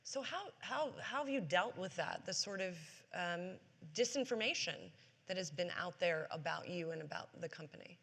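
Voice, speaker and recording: A woman business journalist asking measured, probing questions as the interviewer in an onstage conference talk. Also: fairly low pitch, expressive, fairly bright tone. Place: conference stage before an audience. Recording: long hall reverb on stage.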